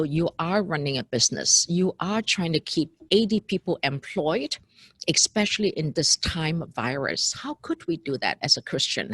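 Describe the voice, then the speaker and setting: A woman's voice: deep voice, husky, dry recording. A woman in her seventies from Hong Kong, an immigration lawyer, earnestly giving a recorded talk that works through a list of points and questions. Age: 70s.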